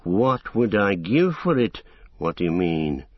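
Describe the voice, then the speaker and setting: A man's voice: low-pitched, very animated, measured pace, dry recording, dull audio, heavily acted. A man voicing a menacing, greedy orc in an audiobook, hissing and drawing out mock-tender words with cruel relish. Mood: sly, eager. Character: sly, menacing male orc, greedy, falsely sweet, cruel. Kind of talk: audiobook fantasy villain.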